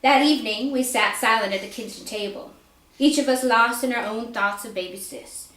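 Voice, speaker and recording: A woman reading a story aloud at a live indoor reading, narrating expressively and deliberately. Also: a little high, a bit bright. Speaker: author reading own fiction aloud. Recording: echoey room live reading.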